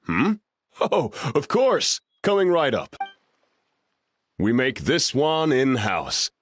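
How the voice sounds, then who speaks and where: An actor playing a friendly, hurried restaurant chef with an accommodating server's manner. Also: male voice, mid-range voice, huge pitch swings, a little hiss or hum. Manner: affable, accommodating server's patter.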